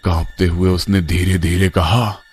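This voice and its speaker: A man's voice: deep voice, level tone, unhurried, a little mellow, soft background music. A man narrating a scripted horror story as its storyteller.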